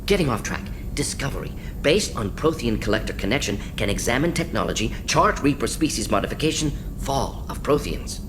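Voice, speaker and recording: An actor playing a brisk, brilliant alien scientist in a video game, speaking in clipped, blunt fragments with small words dropped. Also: male voice, sing-song, close to an everyday voice. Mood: brisk, intent. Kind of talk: video game character acting. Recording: clear rumbling noise behind voice.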